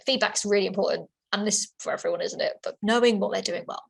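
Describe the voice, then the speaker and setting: A woman's voice: mid-range voice, quick pace, gravelly. A woman giving a webinar talk as a workplace assessor, informal and chatty, with lots of fillers and restarts, over a computer microphone. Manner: informal, repeats herself, many fillers and restarts.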